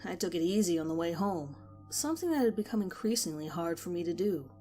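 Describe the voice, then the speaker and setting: Low-pitched woman narrating horror over music. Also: lively intonation, quick pace, dark tone. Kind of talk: scripted first-person story narration.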